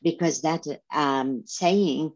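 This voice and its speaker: Middle-aged woman from Syria, low-pitched, earnest teacher on a dull-sounding headset call. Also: vocal fry. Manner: earnest, halting with restarts. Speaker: Arabic teacher, guest. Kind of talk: video call interview. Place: home room indoors. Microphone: headset on video call.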